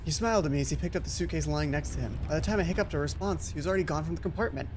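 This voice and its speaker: A man's voice: on the high side, very fast, background noise. A man hosting a gaming video, reading game text aloud and breaking off into casual asides, sometimes stumbling over the words. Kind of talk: let's play commentary mixed with reading visual novel text aloud.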